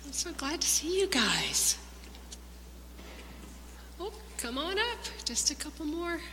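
A woman with a high, bright voice gives a children's talk in a church. Her phrasing is simple and conversational. The hall rings with long reverb and there is some background noise. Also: sing-song, takes it slowly. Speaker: church children's minister leading children's moment. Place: large church sanctuary.

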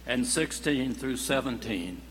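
A man reading scripture formally in a church service, with a measured, declamatory delivery. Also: mid-range voice, expressive, a bit bright. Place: large church sanctuary. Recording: echoing church hall with faint hum.

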